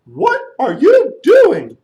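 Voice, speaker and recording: A man voicing a big, eager elephant with loud, drawn-out delivery in a children's puppet story. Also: very high-pitched, wildly swooping pitch, highs cut off, pitched above the performer's own voice, heavily acted.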